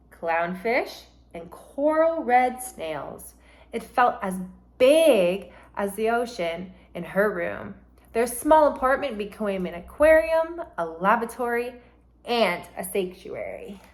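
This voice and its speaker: Slow, expressive woman teacher reading aloud. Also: a little high. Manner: deliberate, expressive, instructive. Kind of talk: storybook read-aloud with vocabulary explanations.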